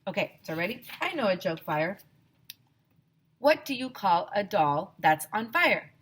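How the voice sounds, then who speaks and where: A woman's voice: mid-range voice, very animated, a little slow, slightly rough. A woman voicing a brash, teasing schoolboy who cracks jokes in a children's storybook. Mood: jokey. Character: cheeky, wisecracking schoolboy narrator of a children's story.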